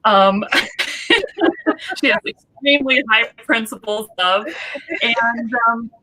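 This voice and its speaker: Bright enthusiastic woman tarot reader. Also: fairly high pitch, takes it slowly, poor audio. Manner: enthusiastic, flattering. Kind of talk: casual chat. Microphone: video call.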